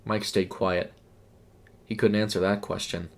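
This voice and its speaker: A man's voice: fairly low pitch, little pitch movement, measured pace. A man narrating a scripted horror story. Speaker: narrator and voice performer.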